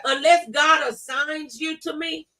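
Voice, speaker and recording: High, bright-voiced woman preaching emphatically. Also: steady tone, measured pace, distant mic. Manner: emphatic, preaching, repeats scripture phrases. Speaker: talk show speaker on faith. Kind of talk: conversational religious talk, testimony and scripture.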